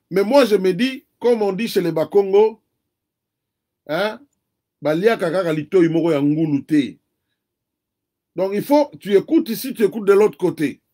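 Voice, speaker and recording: A man with a Congolese accent and a notably high voice for a man. He speaks earnestly and builds his case step by step, repeating phrases for emphasis. His first language is Kikongo. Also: expressive, unhurried, pauses often, warm tone. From Democratic Republic of the Congo. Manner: earnest, argues point by point with repetition. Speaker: interview guest. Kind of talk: earnest conversational commentary.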